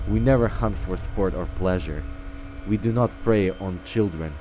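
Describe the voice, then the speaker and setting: A man with a lowish voice plays through a roleplay game, talking in character and reading text aloud. He is slow and halting, with music behind him. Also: warm tone. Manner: hesitant, halting. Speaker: gaming video host, roleplaying as the player.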